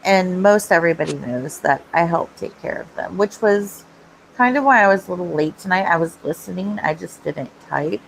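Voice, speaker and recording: A woman's voice: fairly low pitch, moderate pace, gravelly, a little hiss or hum, poor audio. A woman in her thirties chatting casually on a video call stream and laughing often. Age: 30s. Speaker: panelist on a live stream. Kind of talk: casual group chat.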